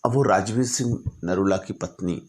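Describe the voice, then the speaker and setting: A man narrating stories informally as an astrologer, speaking deliberately in short phrases broken by pauses. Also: low-pitched. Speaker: astrologer telling client stories.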